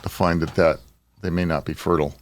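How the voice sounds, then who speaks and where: A man's voice: sits low, fairly even intonation, a little fast. A man bantering playfully as a casual podcast co-host. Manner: jokey, playful.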